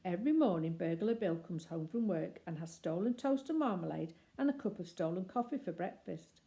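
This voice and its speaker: A woman reading a children's story aloud expressively, with deliberate phrasing and emphatic pauses. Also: fairly low pitch, animated, gravelly, dark tone.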